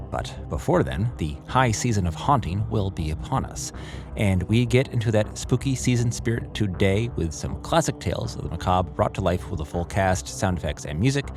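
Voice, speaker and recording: A man hosting a podcast with a scripted, atmospheric introduction. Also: sits low. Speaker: podcast host. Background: music.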